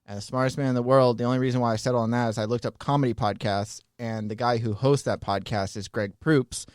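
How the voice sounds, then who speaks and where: A man hosting a podcast in a studio, chatting casually in a relaxed, rambling way and laughing easily. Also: medium pitch, fast talker, clean tone, dry recording. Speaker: podcast host. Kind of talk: casual chat.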